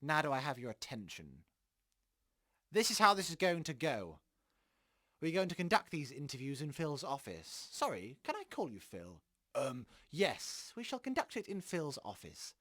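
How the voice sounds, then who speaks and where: Man playing a smugly menacing official, lively pitch. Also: moderate pace, heavily acted. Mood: commanding. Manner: formal, condescending, veiled threats. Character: menacing, smugly polite government enforcer interrogating people. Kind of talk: audio drama acting.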